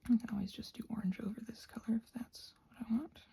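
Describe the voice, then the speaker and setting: A woman rambling casually and effusively in a soft ASMR style, often slipping into whispers as she thinks aloud while crafting. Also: fairly high pitch, fairly even intonation, fast talker, vocal fry.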